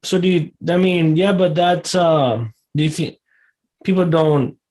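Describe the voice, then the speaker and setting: A Mexican man interviewing someone outdoors, asking halting, hesitant questions full of fillers. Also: a little high, a little mellow. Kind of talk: casual interview chat. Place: outdoors in a desert camp.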